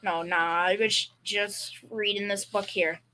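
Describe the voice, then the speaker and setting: A woman's voice: mid-range voice, fairly even intonation, unhurried, bright, forceful tone, slight background noise. A young female performer playing a blustering, secretly scared father with folksy, hesitant speech in a school old-time radio comedy. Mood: uneasy. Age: young teen or child.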